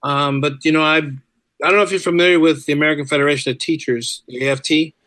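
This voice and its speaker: A man with a slightly high voice talks as a guest in a casual interview. He is talkative and informal, circling back to repeat phrases for emphasis. The recording is dry, with very little room sound. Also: fairly even intonation, fast, a bit bright, no echo. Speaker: podcast guest, writer and musician.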